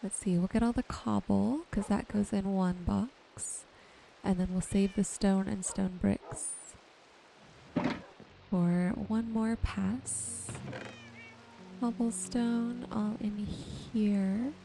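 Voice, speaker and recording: A soft-spoken woman giving unhurried ASMR gameplay commentary, musing to herself and her viewers. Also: mid-range voice, steady tone, gravelly, noisy background. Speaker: gaming streamer and host. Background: music and rain sounds.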